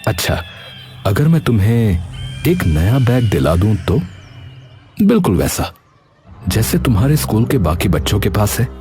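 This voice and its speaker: A man's voice: lowish voice, sing-song, moderate pace, pauses often, over music. A man playing a mysterious stranger in a cartoon story, speaking in a hesitant, coaxing way as if to a child. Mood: friendly luring.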